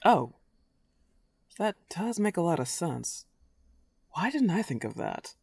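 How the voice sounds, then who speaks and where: A woman's voice: lowish voice, very animated, slow pace, vocal fry, a little mellow, breathy edge, dry recording, exaggerated voice. A woman playing a love-obsessed werewolf girl in a comedic roleplay audio drama, playful, taunting and cocky. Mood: wry. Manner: playful, taunting, swooping emphasis.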